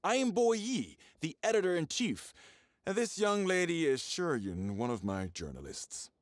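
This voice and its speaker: A man's voice: fairly high pitch, big pitch swings, unhurried. A man playing a flustered, blustering newspaper editor in a video game, agitated and apologetic. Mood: flustered apology.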